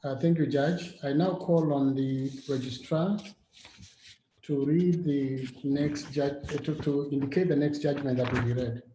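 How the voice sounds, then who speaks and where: A man's voice: medium pitch, fairly even intonation, a little slow, dark tone. A man presiding over a court session, speaking formally and courteously without a script. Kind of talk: formal, unscripted chairing. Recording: roomy echo, faint background noise.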